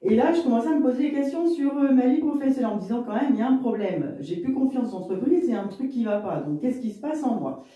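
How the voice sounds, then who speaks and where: Woman, fifties, from Lille; rambling, chatty guest; high, mellow voice, echoey and a bit off-mic. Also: lively intonation, quick pace, far from the mic. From Lille, northern France. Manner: chatty and rambling, many fillers and asides. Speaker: guest, business owner in real estate. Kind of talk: casual interview storytelling.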